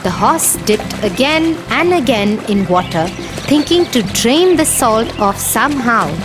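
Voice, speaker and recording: A storyteller narrating a children's tale in an expressive, deliberate way, with music behind. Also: mid-range voice.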